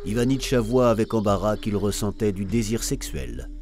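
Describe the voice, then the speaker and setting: A man's voice: on the low side, expressive, moderate pace, darkish tone. A man narrating a cartoon story from a script in storybook style. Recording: music clearly behind the voice.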